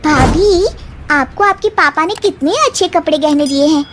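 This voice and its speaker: Woman voicing a high-pitched wistful young woman. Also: normal speed, noisy background, close to an everyday voice. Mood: wistful envy. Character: young unmarried sister-in-law, wistful and envious.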